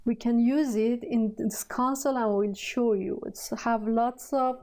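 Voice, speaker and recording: A woman with a Persian accent, a native Persian speaker, teaching a coding lesson in halting, hesitant speech full of fillers. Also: on the high side, steady tone, mellow. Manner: halting, searching for words, many fillers. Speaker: programming tutor. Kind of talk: screen-recorded coding tutorial.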